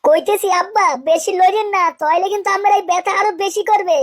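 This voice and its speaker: A man voicing a bossy young daughter-in-law in a comic cartoon, nagging and argumentative in a pitched-up voice. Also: steady tone, fast talker, a bit bright. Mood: concerned.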